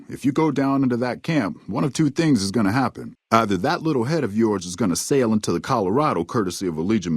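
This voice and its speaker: An American actor playing a gruff, wry, cynical frontier army ranger in a video game, with a folksy, drawling, sardonic manner. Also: male voice, medium pitch, dry recording, clean studio sound. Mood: threatening. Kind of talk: video game dialogue lines.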